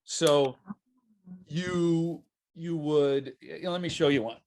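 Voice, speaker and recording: A man's voice: on the high side, slow pace, darkish tone. A man from the United States hosting a casual interview, chatty and self-deprecating, with frequent restarts. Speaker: podcast host.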